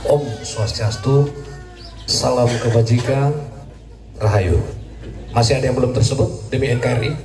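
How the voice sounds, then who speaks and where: A man, a military officer, giving a joking, lively speech at a community gathering. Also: mid-range voice, moderate pace, pauses often. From Indonesia. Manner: jokey and lively, laughs at his own jokes. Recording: echoey, distant, crowd noise.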